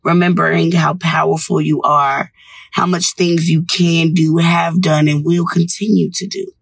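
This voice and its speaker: A woman's voice: low-pitched, steady tone, unhurried, creaky, breathy edge, no room sound. A woman hosting a radio show, delivering a scripted motivational monologue in an encouraging, instructive manner.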